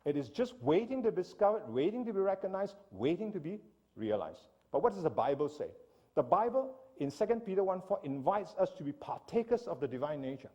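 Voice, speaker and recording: A man from Singapore delivers an animated church seminar talk, often turning to his listeners with "right?". His voice sits a little high and is husky, and it swings up and down freely. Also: a little fast. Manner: animated lecturing, asks audience "right?". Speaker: speaker.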